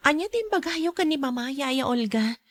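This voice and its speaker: A woman's voice: sits high, expressive, moderate pace, no echo. An actress playing a kind young woman, daughter of a rich family, in an earnest, pleading radio drama manner. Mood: concerned. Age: young adult. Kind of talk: Ilocano radio drama acting.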